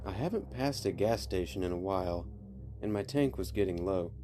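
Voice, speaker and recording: A man reading creepy stories as a podcast host, in scripted narration with measured, deliberate phrasing and dramatic pauses. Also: low voice, lively intonation. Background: faint music.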